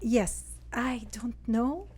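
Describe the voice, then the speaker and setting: A woman from Syria with an Arabic accent, a native Arabic speaker, talking earnestly and haltingly as a guest in a conversational interview. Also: on the high side, expressive, darkish tone, slightly rough. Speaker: interview guest, real estate agent.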